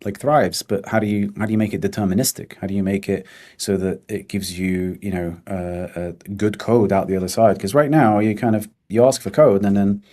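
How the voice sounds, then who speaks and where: A man's voice: lowish voice, steady tone, a little fast, mellow. A man chatting casually as a co-presenter, repeating phrases for emphasis in a choppy flow. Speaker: consultancy founder, co-presenter. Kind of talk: casual chat.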